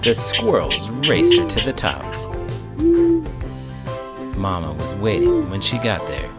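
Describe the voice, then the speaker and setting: A man giving a playful, expressive read-aloud of a picture book. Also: low voice, slow, loud music behind the voice. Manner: animated, playful storytelling.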